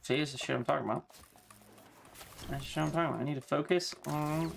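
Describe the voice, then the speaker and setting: A woman's voice: deep voice, fast, gravelly, slight background noise. A woman live-streaming a video game, chatting casually with slangy, sweary exclamations. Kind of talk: casual gaming stream commentary.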